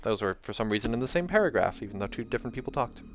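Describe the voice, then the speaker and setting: A hoarse man reading a novel aloud and chatting casually between passages, with joking asides and many fillers. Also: lowish voice, expressive, rapid-fire, a little hiss or hum. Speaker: reader and channel host. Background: soft game music.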